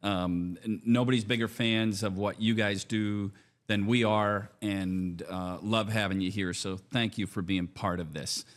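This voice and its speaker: A man co-hosting a podcast, wrapping up the episode with relaxed thanks. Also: on the low side, measured pace. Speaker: podcast co-host. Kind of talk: casual sign-off. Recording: music playing under the voice.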